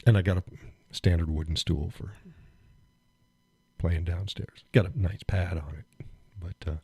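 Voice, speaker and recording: A man hosting a live stream, chatting casually and rambling with fillers and chuckles, phlegmy and clearing his throat. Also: low voice, steady tone, a little slow, a little mellow, no echo. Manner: rambling, chuckling, thinks aloud with many fillers. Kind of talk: casual chat reading viewer comments.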